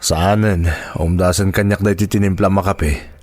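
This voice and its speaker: A man acting as a devoted schoolteacher husband in a radio drama. His voice sits fairly low and sounds earnest and dutiful, recorded close and dry. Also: fairly even intonation, moderate pace, a little mellow, no room sound, lightly acted. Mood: tired, earnest. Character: dedicated, self-sacrificing schoolteacher husband working late at night.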